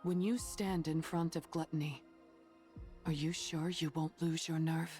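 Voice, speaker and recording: A woman playing a stern, protective female android soldier in an anime game dub, speaking in a measured, grave, firm way. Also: low-pitched, fairly even intonation, a little mellow, soft background music. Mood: stern, resigned.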